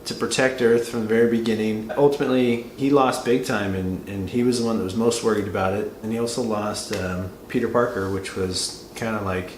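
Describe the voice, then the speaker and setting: A man discussing a film casually, explaining and thinking aloud in a halting, conversational way. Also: on the low side, steady tone. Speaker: co-reviewer answering and explaining. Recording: roomy echo with faint background noise.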